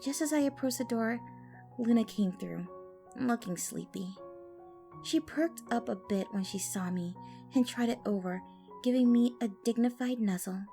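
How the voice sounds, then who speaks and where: A woman's voice: fairly high pitch, normal speed, mellow, faint music behind. A woman narrating fan fiction expressively in the first person, as a storyteller.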